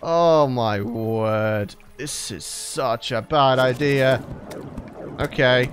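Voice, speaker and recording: A man's voice: mid-range voice, drawn-out words, music in the background. A young man, a regular gaming channel host, chatting cheerfully through a let's play and often laughing, his voice tired from recording late at night. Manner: chatty, upbeat, laughs often, talks to viewers.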